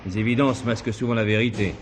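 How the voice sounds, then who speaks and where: Methodical police commissioner, briskly spoken male actor. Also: lowish voice, steady tone, noisy background, natural voice. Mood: firm, thoughtful. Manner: measured, questioning, courteous. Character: methodical, sceptical police commissioner, polite but insistent. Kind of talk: 1970s French police drama dialogue.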